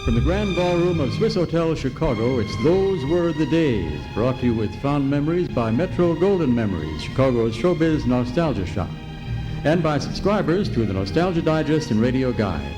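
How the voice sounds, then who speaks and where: A man's voice: fairly high pitch, sing-song, moderate pace, music in the background, low-quality recording. An American man announcing in a showy, scripted old-time radio style. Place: hotel ballroom stage.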